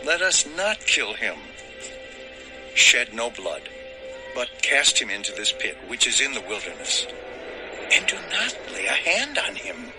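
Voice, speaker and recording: An actor playing a protective, anguished elder brother, pleading deliberately in a dramatized audio Bible. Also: male voice, mid-range voice, huge pitch swings. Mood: urgent pleading. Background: music.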